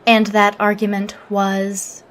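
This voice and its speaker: A woman playing a literal, humorless alien Starfleet officer in an audio drama, speaking formally and exactly with a deadpan manner. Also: medium pitch, slow pace, slight background noise, lightly acted. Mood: cool, probing. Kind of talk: scripted audio drama log and interview.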